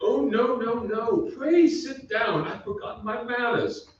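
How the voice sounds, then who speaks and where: Distant echoey stage, ancient noble ghost, high voice, pompous, mournful, archaic radio-play delivery. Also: male voice, a little slow, dull audio, a little hiss or hum. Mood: wounded pride. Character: pompous, melancholy centuries-old male aristocratic English ghost. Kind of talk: old-time radio drama acting. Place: on stage in a theatre.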